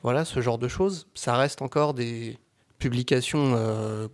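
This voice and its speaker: Male podcast guest, mid-range voice, conversational and halting, repeats himself while working out his point. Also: medium pitch. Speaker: guest, amateur audio fiction creator. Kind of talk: casual podcast discussion.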